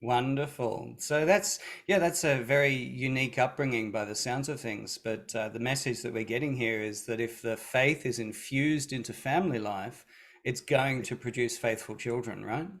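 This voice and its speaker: A male interview host at a middle pitch asks long questions about faith. He gets to his point through many fillers and short affirmations. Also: normal speed, fairly bright tone. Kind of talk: recorded online interview.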